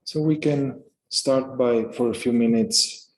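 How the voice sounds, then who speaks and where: A man leading a guided meditation in a calm, unhurried manner, speaking in short phrases with pauses between them. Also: medium pitch, low-quality recording. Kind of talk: guided meditation, unscripted instruction.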